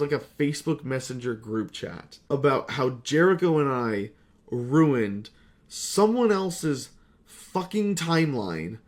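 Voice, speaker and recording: A man's voice: on the high side, a little mellow. A young adult man rambling casually to viewers, profane, sarcastic and self-deprecating, stretching words for emphasis. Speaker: YouTuber and fan-film creator.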